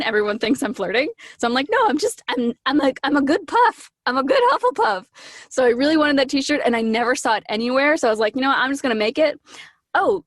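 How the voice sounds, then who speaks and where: A woman chatting casually as an interview guest, animated and gushing, slipping into little acted-out asides. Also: a little high, no echo, studio quality. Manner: animated, gushing, acts out her own quoted lines.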